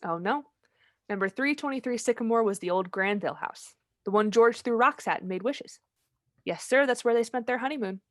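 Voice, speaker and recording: A woman's voice: fairly high pitch, a little fast, a bit bright, lightly acted. A woman playing a knowing heavenly storyteller in a radio play, narrating in a conversational way. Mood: storytelling.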